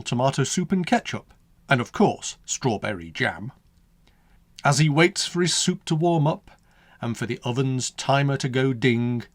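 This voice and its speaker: A man reads his own rhyming children's poem in a mid-pitched voice that rises and falls with a wide, animated lilt. His sing-song, storybook delivery leans into every rhyme. The sound is close and dry, with no room around it. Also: no room sound.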